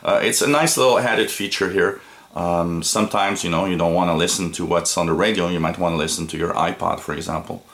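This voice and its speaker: A man with a fairly low voice talks through an informal product review. He explains features step by step, pausing with hesitations and often repeating a phrase before going on. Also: fairly even intonation, fairly bright tone.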